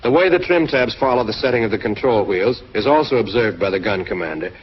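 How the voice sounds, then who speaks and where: A man's voice: fairly low pitch, lively intonation, quick pace. A man giving scripted instructional narration for a training film, speaking straight to the listener in a direct, instructive way. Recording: dull lo-fi 1940s film soundtrack.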